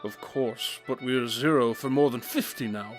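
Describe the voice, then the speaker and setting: An actor playing a brilliant, brooding detective in an audio drama, speaking in a deliberate, analytical and slightly formal way. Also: male voice, medium pitch, faint music behind, lightly acted. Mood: analytical, intense. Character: brooding, brilliant detective, intense and self-absorbed.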